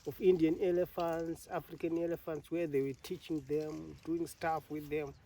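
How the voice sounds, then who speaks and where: Zimbabwean man telling his story, mellow voice. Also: a little high, little pitch movement, far from the mic. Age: middle-aged. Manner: laughs often, halting, plain storytelling. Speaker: elephant carer. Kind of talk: interview storytelling.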